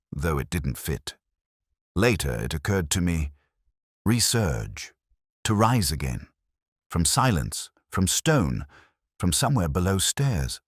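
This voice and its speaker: A man playing a brooding, erudite middle-aged detective who narrates a mystery in measured, literary phrases. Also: low voice, no room sound, clean studio sound, heavily acted. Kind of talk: scripted first-person mystery narration, audio drama.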